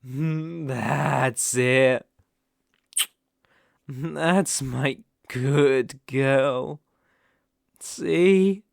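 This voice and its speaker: A British man playing an obsessive, menacing young boyfriend in an intimate ASMR roleplay, coaxing softly with slow, deliberate emphasis. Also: medium pitch, creaky, no room sound, studio quality. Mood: pleased, coaxing. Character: obsessive, possessive, menacing young boyfriend holding partner captive.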